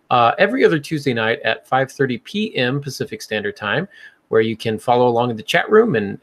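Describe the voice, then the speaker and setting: A man's voice: medium pitch, creaky, a bit bright. A man hosting a podcast, talking casually in a chatty, explanatory way. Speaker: podcast host.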